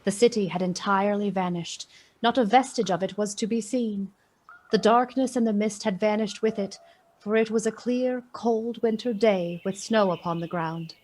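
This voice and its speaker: A woman playing the storyteller of a Victorian ghost tale, with measured, literary, expressive delivery in a radio play. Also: medium pitch, lightly acted. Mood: measured, wondering. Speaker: narrator.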